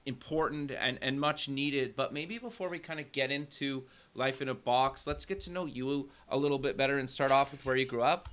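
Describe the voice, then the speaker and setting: A man's voice: mid-range voice, moderate pace, few pauses, fairly bright tone. A man hosting a podcast and radio show, presenting and interviewing. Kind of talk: scripted show intro, then casual interview.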